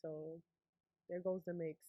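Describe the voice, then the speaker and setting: A woman's voice: on the low side, level tone, unhurried, dry recording, muffled recording. A young woman rapper from Puerto Rico, chatting in a laid-back, slangy way with lots of fillers. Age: young adult. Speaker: rapper guest. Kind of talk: casual interview chat.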